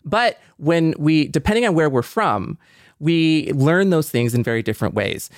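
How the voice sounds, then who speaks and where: An American man speaking reflectively and earnestly in a conversational podcast discussion, pausing and repeating words as he goes. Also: fairly high pitch, expressive, no room sound. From metropolitan Detroit, United States. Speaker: podcast panelist.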